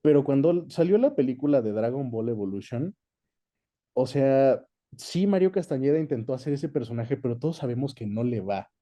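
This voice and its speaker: A man's voice: mid-range voice, lively intonation, moderate pace, mellow. A man with a Mexican accent chatting casually as an interview guest, recounting his experience. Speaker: guest, dubbing actor and director.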